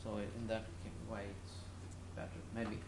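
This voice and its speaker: A man lecturing informally to a class, speaking in a halting, hesitant way with non-native phrasing. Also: fairly low pitch, level tone. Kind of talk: informal classroom talk to students. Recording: echoey room with clear background noise.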